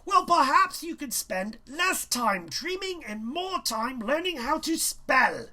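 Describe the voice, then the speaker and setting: A man's voice: very high-pitched, sing-song, takes it slowly, sharp tone, voice pushed up, heavily acted. A man voicing a stern, vain male schoolteacher in a storybook reading, speaking in a pompous, condescending and emphatic way. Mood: angry, scornful.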